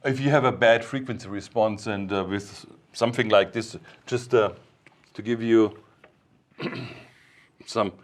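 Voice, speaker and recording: A man giving an informal technical explanation as an expert, in halting, piecemeal phrases. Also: lowish voice, fairly even intonation.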